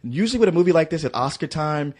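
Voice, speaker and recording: A man co-hosting a casual movie review, eager and quick with halting restarts. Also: fairly high pitch, lively intonation, darkish tone. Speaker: podcast co-host.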